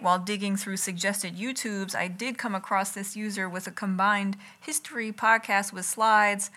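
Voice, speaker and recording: A woman reading her own writing aloud as an ASMR read-along, in a deliberate, literary manner with wry asides, close to the mic in a home kitchen. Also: mid-range voice, bright, forceful tone. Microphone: close enough to catch breath and lips.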